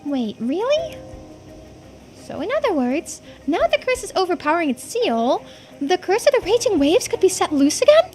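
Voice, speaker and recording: Woman voicing a very high-pitched, excitable young islander. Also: normal speed, soft background music. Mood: shocked. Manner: eager, questioning, exclamatory. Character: excitable, inquisitive young islander, easily astonished. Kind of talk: voiced video game dialogue playthrough.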